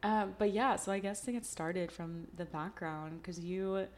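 Chatty low-voiced woman podcast host. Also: steady tone, a little slow, a little hiss or hum. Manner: chatty, upbeat, lots of likes and ums. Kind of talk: casual podcast chat.

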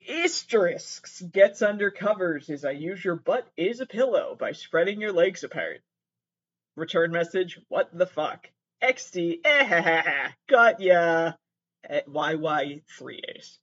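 Higher-pitched, animated, exasperated man. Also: husky, dull audio. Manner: halting, sweary, exasperated asides. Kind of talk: casual comedic commentary, reading messages aloud.

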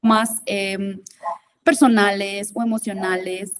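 A woman's voice: medium pitch, fairly even intonation, slow, no echo. A woman, a guest in a podcast interview, speaking thoughtfully with many fillers and restarts and dropping English terms into her talk. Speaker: interview guest, innovation consultant.

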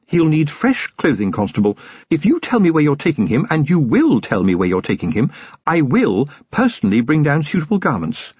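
Man voicing an imperious upper-class lady, raised pitch. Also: brisk, husky, dry recording, dull audio, heavily acted. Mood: stern, brisk. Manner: calm, confident, imperious and polite. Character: upper-class lady, self-assured, commanding, briskly gracious wife.

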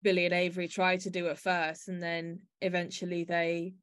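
A woman's voice: fairly low pitch, monotone, moderate pace. A woman hosting a podcast, talking through a piece in a conversational discussion style. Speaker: podcast host.

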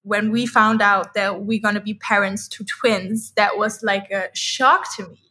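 A woman's voice: mid-range voice, steady tone, unhurried, bright, forceful tone. A woman in her thirties whose first language is Dutch, chatting as an interview guest in a rambling way, full of "like" fillers. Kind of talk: casual interview chat.

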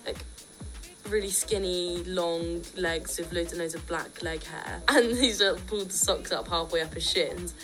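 Young woman, student radio host, slightly croaky, chatty and opinionated, soft music under her. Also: on the low side, measured pace, rough recording. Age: young adult. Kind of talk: casual radio chat.

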